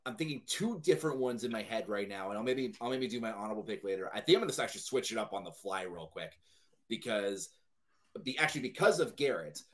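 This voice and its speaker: A man talking casually as a guest panelist in a group discussion. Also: mid-range voice.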